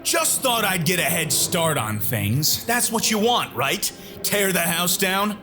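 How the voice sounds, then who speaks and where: A man's voice: sits high, very animated, slow, full character voice. A man voicing the enraged, bitter ghost of a young frat man in an audio drama. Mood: angry, accusing. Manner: confrontational, bitter, forceful. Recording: dramatic music behind.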